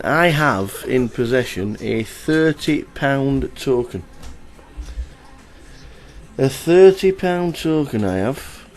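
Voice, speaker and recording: A man's voice: mid-range voice, darkish tone. A man chatting casually as a live poker commentator, playful and repetitive. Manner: chatty, playful, repeats himself.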